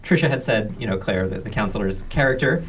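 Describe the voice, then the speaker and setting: A man co-hosting a casual panel interview, asking questions in a halting, hesitant way. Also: fairly low pitch, expressive, fairly bright tone. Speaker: co-host interviewer. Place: small indoor studio. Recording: slightly distant mic, faint room noise.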